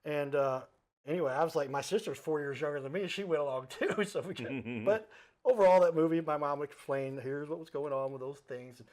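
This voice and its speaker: A man's voice: fairly high pitch, brisk, a little mellow. A middle-aged man hosting a casual interview show, chatty and given to storytelling with asides and quoted dialogue. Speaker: talk show host.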